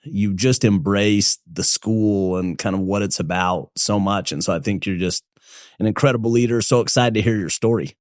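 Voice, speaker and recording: A man's voice: fairly low pitch, no echo, studio quality. Effusive man hosting a podcast. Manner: enthusiastic, filler-heavy, slow and effusive. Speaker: podcast host. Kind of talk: casual interview hosting.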